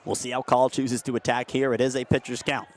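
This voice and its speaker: A young man calling a game as a radio play-by-play announcer, steady between plays and rising to excited shouts on big hits. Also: medium pitch, a little fast. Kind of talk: radio sports play-by-play commentary.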